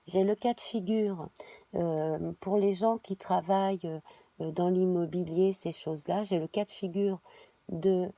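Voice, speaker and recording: A woman, reading a monthly tarot spread while she has a cold, halting and filling pauses. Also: lowish voice, steady tone, dark tone, dry recording. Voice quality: hesitant, many fillers and restarts. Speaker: tarot reader.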